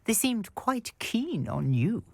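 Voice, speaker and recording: A brisk, confident historian with a wry, crisp delivery and a lively voice. The recording is dry and close. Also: female voice, mid-range voice, no echo, full character voice. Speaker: historian and archaeologist.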